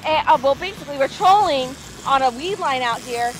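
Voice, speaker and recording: An excitable woman vlogging on a fishing boat out on open water, her voice high and bright as she calls out what she sees to her viewers. Music plays clearly underneath, and the sound comes from an action camera held close to her. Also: brisk, poor audio. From Florida. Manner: bubbly, exclamatory, talks to viewers as guys.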